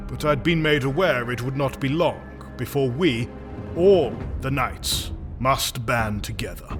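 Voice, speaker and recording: A man playing a stalwart knight grandmaster in a fantasy audio drama, giving stately, deliberate oratory over music. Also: medium pitch.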